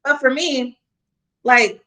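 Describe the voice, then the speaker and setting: Bright-voiced woman, earnest and reflective. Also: sits high, slow pace. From Kansas City, US Midwest. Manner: earnest, reflective, frequent "you know" fillers. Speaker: podcast guest. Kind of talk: casual conversational interview.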